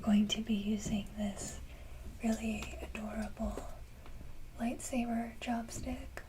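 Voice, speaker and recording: A woman whispering breathily in a casual ASMR style, speaking to viewers in a gentle, halting manner. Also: fairly high pitch, little pitch movement. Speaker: ASMR video creator. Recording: clearly noisy, hissy background.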